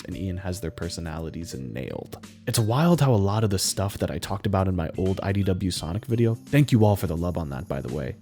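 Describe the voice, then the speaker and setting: A young man talking about comics on his own channel in an excited, gushing fan manner. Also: sits low. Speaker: YouTube commentator. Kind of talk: enthusiastic fan commentary.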